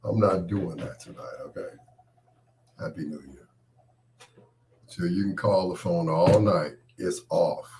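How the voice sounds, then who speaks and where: Very low, slow, fed-up man with a cold. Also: slight background noise. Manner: irritated, dismissive, trailing off quietly. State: has a cold, voice partly lost. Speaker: host. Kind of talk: casual on-air monologue.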